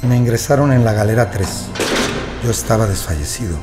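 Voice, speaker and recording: A man's voice: lowish voice, a little fast, lightly acted. An actor in an audio drama playing a wronged male prisoner who recounts his ordeal as sober testimony. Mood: pained. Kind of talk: first-person audio drama narration. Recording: music bed behind the voice.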